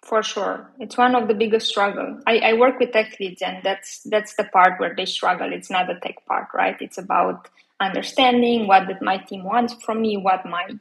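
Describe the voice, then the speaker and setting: A woman's voice: medium pitch, fairly even intonation, normal speed, gravelly, rough recording. A woman in her thirties from Romania, talking casually as an interview guest, with lots of fillers and restarts. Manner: chatty, many fillers and restarts.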